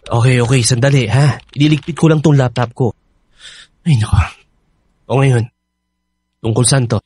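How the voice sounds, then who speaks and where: A man's voice: mid-range voice, fairly even intonation, moderate pace, a little mellow, breathy edge, natural voice. A man playing an evasive, exasperated young boyfriend, defensive and placating, in a radio drama. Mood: distracted, reluctant.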